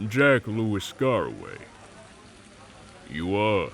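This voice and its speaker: Man as a slow, low-voiced brawler. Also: wildly swooping pitch, gravelly, fairly bright tone, full character voice. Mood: wry, guarded. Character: cocky, menacing male supernatural brawler, spoiling for a fight. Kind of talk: audio roleplay drama acting.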